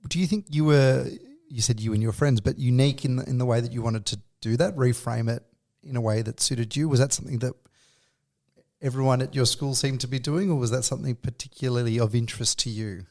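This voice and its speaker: A man's voice: mid-range voice, brisk, darkish tone. A man hosting a podcast interview, asking long, loosely worded questions that circle and restart. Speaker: podcast host.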